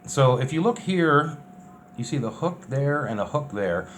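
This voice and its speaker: A man's voice: medium pitch, expressive, measured pace, a bit bright. Guy doing a casual vlog, offhand and plainspoken, some noise and ambience behind him. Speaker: vlogger documenting a bus build. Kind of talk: casual unscripted vlog chat.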